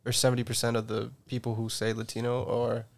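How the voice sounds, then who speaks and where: A man from Guatemala talks through his opinions in a loose group chat, hedging as he goes. His voice has a rough, gravelly edge to it. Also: medium pitch, monotone, quick pace. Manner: thoughtful, makes points with hedging.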